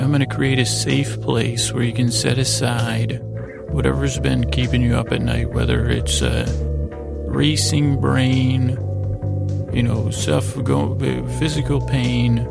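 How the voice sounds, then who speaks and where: A man hosting a bedtime podcast in a halting, meandering, gently silly monologue, with a gravelly, creaky voice. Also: mid-range voice. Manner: meandering, halting, trails off and loses his way between words. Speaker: podcast host.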